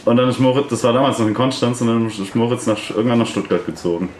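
A man, a band member, talking in a quick, matter-of-fact way in a casual interview. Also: mid-range voice, steady tone, a little mellow, reverberant, slight background noise.

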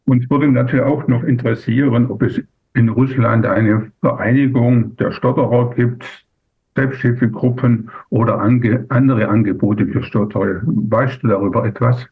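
A man interviewing a guest, asking calm and friendly questions. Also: mid-range voice, moderate pace, slightly rough. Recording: phone-like, thin.

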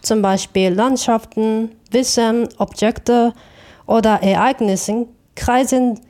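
A woman from Japan with a Japanese accent, giving reflective interview answers in a thoughtful, halting way with self-corrections. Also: on the high side, steady tone, darkish tone. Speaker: interview guest, artist.

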